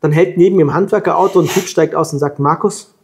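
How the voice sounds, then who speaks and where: A man from Germany in his forties, telling lively travel anecdotes as the guest at a table interview, on a lapel mic. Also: on the high side, fast talker. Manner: lively anecdotal storytelling, colloquial. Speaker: guest, long-distance scooter traveller. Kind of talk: storytelling in a sit-down interview. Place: room with plants at a table.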